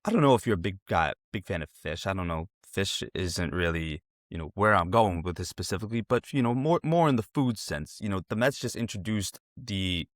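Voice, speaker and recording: A man's voice: low-pitched, animated, fairly quick, few pauses, no echo, clean studio sound. A man in his twenties hosting a casual sports interview, talking in a rambling, digressive way. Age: 20s.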